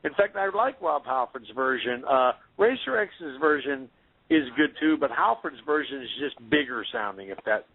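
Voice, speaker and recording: A man's voice: on the high side, moderate pace, no room sound, narrow, tinny audio. A man from North Carolina, a metal band member, chatting casually in a radio interview and telling stories. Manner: storytelling, animated impressions.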